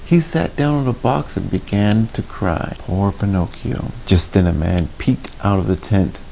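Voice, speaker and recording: Male storybook reader for kids: low, gravelly, very expressive, chatty asides, some background noise. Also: a little slow, poor audio. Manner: slips in asides and questions to young listeners. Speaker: children's story reader, host. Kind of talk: expressive picture-book read-aloud for children. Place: small indoor room.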